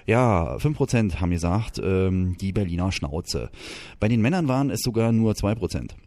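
Brief: male radio host, very low voice, chatty and teasing, dry studio sound. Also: animated, moderate pace, mellow, no room sound. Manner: chatty, teasing, reads out items with asides. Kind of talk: casual radio banter, reading news items.

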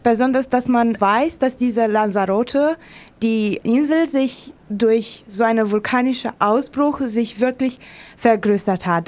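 A woman with a fairly high voice speaks as a guest in an interview. Her unscripted account is enthusiastic but halting, as she searches for words and uses slightly irregular grammar. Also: a little mellow. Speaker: interviewed contributor.

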